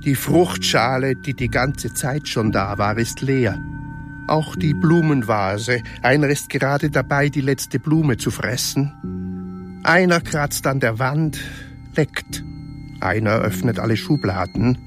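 A man reading stage directions in a radio play, in a measured, matter-of-fact way. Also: medium pitch, lively intonation, music in the background. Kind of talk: scripted narration in a radio play.

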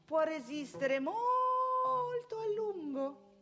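Prim proud mum in a stage monologue, high bright voice, slow and preachy. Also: female voice, very animated, faint music behind, heavily acted. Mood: indignant, scornful. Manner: opinionated, sententious, rhetorical questions. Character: proud, prim married mother, anxious and self-righteous.

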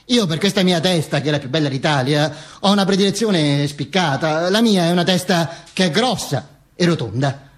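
A male stage actor as a vain dictator speaking from beyond the grave. The voice is notably high for a man and rough-edged, husky. He holds forth pompously in a theatrical monologue, stumbling and repeating words. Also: close to an everyday voice. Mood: boastful. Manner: pompous, halting, with stammered repetitions and asides.

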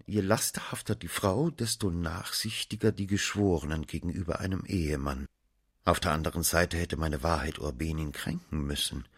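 An actor narrating in the first person as a former investigating judge in a radio drama, measured and sober. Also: male voice, low voice, breathy edge. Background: music underneath.

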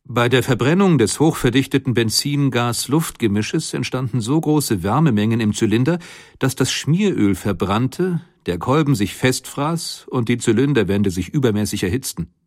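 A man playing a methodical inventor who recounts his technical work in a measured, matter-of-fact way, as in a scripted memoir. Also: medium pitch, expressive, darkish tone, no echo, clean studio sound, lightly acted. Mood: sober, explanatory. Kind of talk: scripted first-person memoir reading.

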